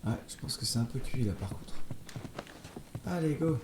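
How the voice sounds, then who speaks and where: A man's voice: medium pitch, animated, normal speed, background noise. A man casually commenting on his gameplay, chatty and playful, with jokey asides to his viewers. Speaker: let's play host. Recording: roomy sound with loud game music.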